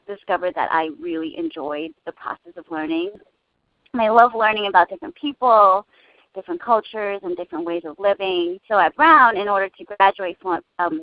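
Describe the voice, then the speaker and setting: A young woman who grew up speaking Cantonese, talking in a casual interview in an earnest, thoughtful way with frequent pauses to find her words. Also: mid-range voice, no room sound. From United States. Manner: earnest, thoughtful, many hesitations. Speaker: interview guest. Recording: dull lo-fi dry recording.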